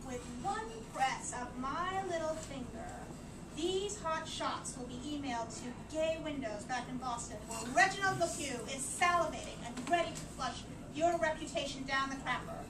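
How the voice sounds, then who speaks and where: A woman's voice: high voice, normal speed, close to an everyday voice. A woman playing a spiteful, menacing old charwoman and blackmailer in a theatrical story reading. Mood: vengeful. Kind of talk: live dramatic story reading. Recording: noisy, echoey room from far off.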